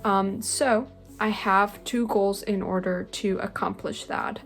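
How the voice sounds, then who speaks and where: A woman's voice: medium pitch, steady tone, takes it slowly. A young woman whose native language is Spanish, talking earnestly to the camera from her bedroom, with frequent "like" and "um" fillers. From Spanish-speaking country. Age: young adult. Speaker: language-learning vlogger. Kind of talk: casual talking-head vlog. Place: bedroom, indoors. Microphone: webcam-style camera close in front.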